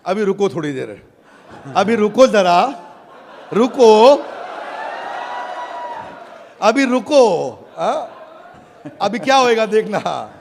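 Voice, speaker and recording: A man's voice: high voice, big pitch swings, takes it slowly, gravelly, pauses often. A man with an Indian accent giving an emphatic motivational sales presentation on stage, calling out to his audience and repeating phrases. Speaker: business speaker presenting a product launch. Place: large event hall with live audience. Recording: echoing hall, audience noise, loud background.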